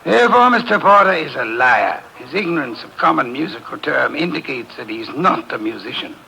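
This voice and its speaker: An actor playing a pompous, portly genius detective in a 1950s radio drama, speaking in a haughty, deliberate way. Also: male voice, mid-range voice, very animated, vocal fry, bright, forceful tone, exaggerated voice, poor audio. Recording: old radio broadcast with faint hiss.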